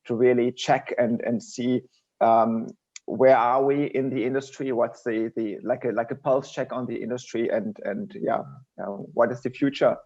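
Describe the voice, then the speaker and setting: A man's voice: medium pitch, fairly even intonation, normal speed, darkish tone. A man speaking as an industry guest in a conversational discussion, often repeating phrases and checking in with the listener. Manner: repeats phrases, tags statements with "right?". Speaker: podcast guest, industry executive. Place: remote online podcast connection. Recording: dull, band-limited online call audio.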